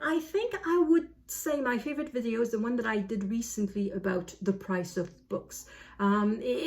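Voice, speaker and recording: A woman book vlogger chatting casually to camera in an animated, self-deprecating way. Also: mid-range voice.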